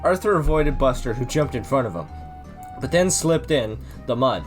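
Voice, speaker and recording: Man reading aloud with lively, swinging pitch. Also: normal speed, faint music behind. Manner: breaks off into amused asides. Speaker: host and reader. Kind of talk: comedic read-along with casual commentary.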